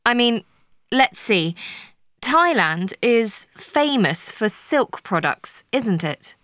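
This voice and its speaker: A woman in a paired speaking-task discussion, talking deliberately and prompting her partner with questions. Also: on the high side, sing-song, fairly bright tone, dry recording, clean studio sound.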